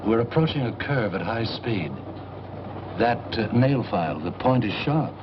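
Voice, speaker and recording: An actor playing a courteous, cryptic older seer in a 1940s noir film, speaking in a formal, measured, enigmatic way. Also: male voice, medium pitch, lively intonation, background noise, natural voice. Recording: dull old film soundtrack with music behind.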